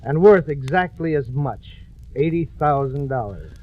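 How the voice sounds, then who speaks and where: An actor playing a hard, accusing, insistent investigator in old-time radio drama style. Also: male voice, on the high side, lively intonation, measured pace. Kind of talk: 1940s radio acting. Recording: muffled old radio recording.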